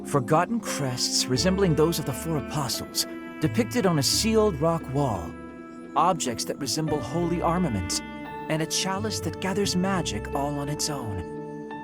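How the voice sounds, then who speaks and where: A man's voice: on the high side, very animated, normal speed, music in the background. A man playing a thoughtful, observant young man in a fantasy video game, speaking articulately. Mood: pondering. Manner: articulate, descriptive. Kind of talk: English video game voice acting.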